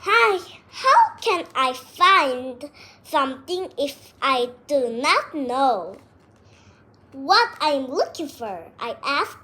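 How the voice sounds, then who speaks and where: A child reading a detective story aloud word by word, with halting breaks between phrases. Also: high-pitched, sing-song, bright, forceful tone.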